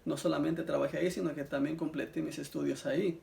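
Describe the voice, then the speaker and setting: A man's voice: fairly high pitch, steady tone, quick pace, creaky, warm tone. A man from Mexico with a Mexican accent, sharing his personal religious testimony earnestly and addressing listeners as brothers. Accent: Mexican Spanish.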